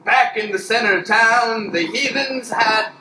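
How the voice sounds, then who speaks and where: A man's voice: very high voice, unhurried, background noise. A man narrating an improvised Western radio serial on stage in a dramatic, folksy style. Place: live improv show on a stage. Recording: echoey stage.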